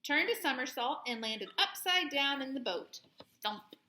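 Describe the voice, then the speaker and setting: A woman host reading a children's story aloud in an expressive, playful way, with plenty of sound words. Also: high-pitched, a little slow, roomy sound.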